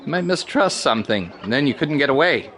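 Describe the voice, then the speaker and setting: An actor playing a wheedling, folksy circus candy seller in an audio drama, with a self-justifying, rustic way of talking. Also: male voice, mid-range voice, sing-song, fast, fairly bright tone. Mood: coaxing.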